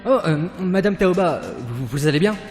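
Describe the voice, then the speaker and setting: A man's voice: fairly high pitch, expressive, moderate pace, a little mellow. A man playing a nervous, clueless junior lab technician in an audio drama, hesitant and bumbling. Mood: nervous, confused. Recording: long hall reverb, music underneath.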